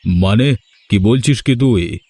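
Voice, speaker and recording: A man's voice: on the low side, slow, warm tone, no room sound. Weary, kindly old landlord voiced by a man. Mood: troubled, bewildered. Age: older. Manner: troubled, weary. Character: ageing, weary, kindly landlord father, trusting. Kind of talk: animated cartoon dialogue. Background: background music.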